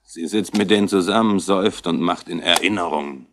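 An actor playing a matter-of-fact junior detective in a radio crime drama, blunt and faintly disdainful. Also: male voice, deep voice, brisk, noisy background. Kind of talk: 1960s German radio crime drama acting.

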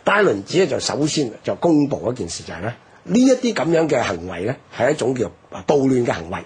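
Husky, lively Hong Kong Cantonese man. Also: mid-range voice, fast. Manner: explanatory, emphatic, with rhetorical questions. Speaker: discussion guest on history radio programme. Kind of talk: animated historical discussion.

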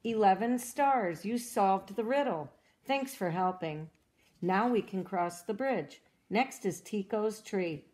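A woman reading a children's storybook aloud as a cheerful young girl explorer, in a gentle, encouraging way that asks the listener questions. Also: mid-range voice, lively intonation, takes it slowly. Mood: upbeat. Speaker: storybook reader.

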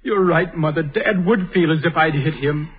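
A man's voice: on the high side, normal speed, slightly rough. An actor playing an earnest, impulsive young man in a vintage radio drama, clipped and theatrical. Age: young adult. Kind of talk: 1930s radio acting. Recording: tinny old radio broadcast.

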